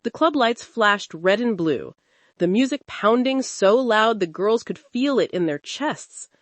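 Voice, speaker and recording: A woman storyteller giving an expressive, suspenseful narration of a scripted dramatic folktale. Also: on the high side, unhurried, dry recording.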